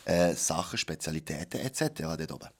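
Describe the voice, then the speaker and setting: A man's voice: rumbling low, expressive, fast talker. A man with a Swiss German accent, hosting a casual radio chat and asking curious questions. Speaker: radio host.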